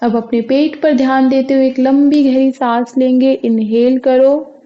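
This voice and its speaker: A high-pitched woman guides a meditation very slowly. She gives soothing, repetitive instructions as a yoga teacher. The recording sounds a little dull and lo-fi. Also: steady tone.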